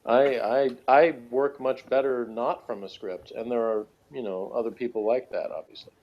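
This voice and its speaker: A man's voice: medium pitch, fairly even intonation, moderate pace, muffled recording. A man in a casual unscripted discussion as a podcast co-host, hesitant and rambling, often circling back over his phrases. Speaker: podcast co-host reviewing a TV show.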